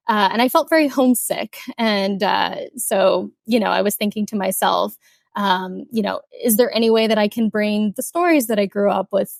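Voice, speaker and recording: A young woman from Kuwait, an author talking casually in an interview, chatty with many fillers and soft laughs. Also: medium pitch, vocal fry, no echo, studio quality. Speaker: author guest.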